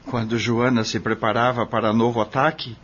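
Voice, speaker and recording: A man plays a troubled Brazilian witness narrating a radio drama. His husky voice is grave and deliberate. The recording is slightly muffled. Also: mid-range voice, flat delivery, fairly bright tone, soft background music, close to an everyday voice. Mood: grave, shaken. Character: troubled imprisoned male witness recounting a crime. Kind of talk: scripted first-person drama narration. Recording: dull lo-fi audio.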